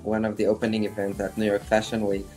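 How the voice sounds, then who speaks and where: A man being interviewed as a guest, telling his life story in a reflective, halting way. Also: lowish voice, monotone, warm tone, soft background music, poor audio. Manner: halting, many fillers and restarts, reflective. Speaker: guest, fashion designer.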